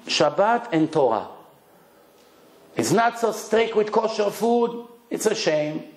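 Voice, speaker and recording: A man's voice: fairly high pitch, a little mellow, roomy sound. A man with an Israeli accent, Hebrew his first language, giving a blunt, emphatic religious lecture in short punchy phrases and rhetorical questions. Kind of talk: lively religious lecture to an audience.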